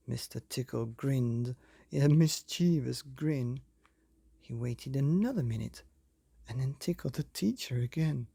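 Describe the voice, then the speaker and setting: A man reading a children's bedtime story expressively, with deliberate dramatic pauses, as if speaking directly to young listeners. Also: a little high, creaky, dark tone, breathy edge, dry recording. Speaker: storyteller, podcast reader.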